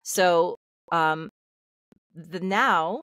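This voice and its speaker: Candid middle-aged woman, low dry voice. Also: very slow, a bit bright, no echo. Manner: open, confessional, lots of fillers and restarts. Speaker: guest, voice actor. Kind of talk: candid personal storytelling. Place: home room, video call. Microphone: studio mic on stand.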